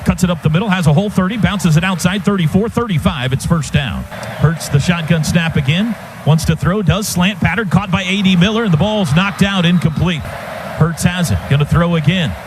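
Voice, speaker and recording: A man's voice: high-pitched, normal speed. A man doing live radio play-by-play of a football game in urgent, clipped present-tense phrases. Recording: noisy live stadium radio broadcast.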